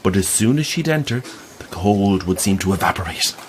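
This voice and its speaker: An actor in an audio drama playing an earnest, insistent believer in the afterlife, speaking formally and solemnly. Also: male voice, sits low, expressive, a little fast, noisy background. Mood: solemn storytelling. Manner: formal, apologetic, storyteller's solemn phrasing. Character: earnest, insistent male afterlife-society believer telling ghostly stories.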